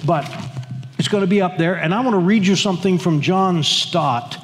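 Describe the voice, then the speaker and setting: A man's voice: high-pitched, normal speed. An older man preaching a sermon as pastor, earnest and repetitive, leading the congregation in reading aloud. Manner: earnest, repeats words and phrases, leads congregation reading. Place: church sanctuary. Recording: long hall reverb in a church.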